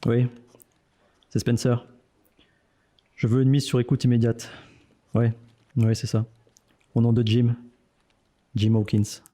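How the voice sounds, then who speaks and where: A man's voice: mid-range voice, level tone, slow, pauses often, background noise, close to an everyday voice. A man playing a weary, cynical intelligence agent in a French audio drama, with a dry, sardonic, curt manner. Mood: firm. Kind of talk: French audio fiction dialogue.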